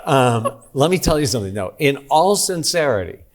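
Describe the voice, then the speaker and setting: A man's voice: medium pitch, big pitch swings, moderate pace, noisy background. A man co-hosting a podcast, talking in a rambling, jokey way with many restarts. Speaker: podcast co-host, radio manager. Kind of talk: casual podcast conversation.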